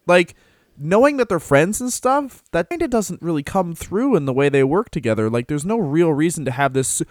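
A man's voice: fairly high pitch, lively intonation, a little fast, no room sound, clean studio sound. A man co-hosting a casual podcast, rambling loosely with lots of fillers and offhand swearing. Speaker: podcast co-host. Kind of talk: casual wrestling podcast chat.

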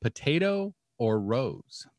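Podcast cohost guy, really animated voice, goofy deadpan jokes, dry sound. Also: mid-range voice, slow, no room sound. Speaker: podcast co-host. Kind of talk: casual chat.

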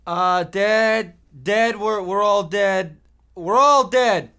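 A man playing a naive, blunt boy with halting, stilted delivery in a comic radio play. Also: high voice, pushed and bright, clean tone, exaggerated voice. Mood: confused alarm.

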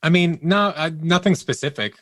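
A man's voice: fairly high pitch, normal speed, no echo, studio quality. A man speaking as an interview guest, conversational, earnest and reflective as he explains things. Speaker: interview guest, audio drama producer.

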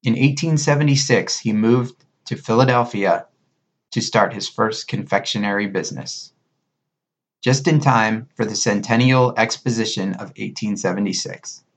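A man's voice: on the low side, normal speed. A man hosting a podcast, moving between conversational sponsor talk and scripted narration. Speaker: podcast host.

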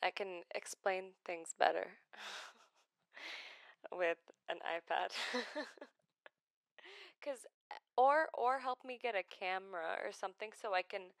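A woman in her twenties from Iraq talks about her life in a personal vlog, gentle and hesitant, with many pauses and restarts. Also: lowish voice, gravelly, clear, resonant tone, no echo, clean studio sound. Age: 20s. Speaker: vlogger.

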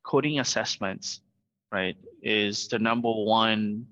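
A man's voice: fairly low pitch, takes it slowly, fairly bright tone. A middle-aged man from Vietnam, a startup founder, speaking earnestly as an interview guest over a video call and often adding "right?". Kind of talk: remote interview. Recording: dull, lo-fi call audio.

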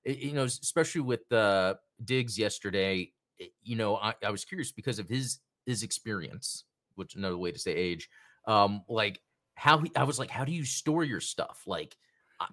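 A man's voice: fairly low pitch, fairly bright tone. A man hosting a casual hobby talk show, rambling with lots of fillers and restarts. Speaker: podcast host.

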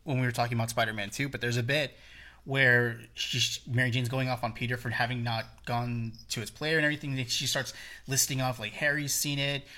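A podcast co-host chats casually about a movie in a bright, clear voice. Sentences often stall and restart in stammers before the point comes out, with laughter mixed in along the way. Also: mid-range voice, level tone, brisk. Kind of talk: casual movie review chat.

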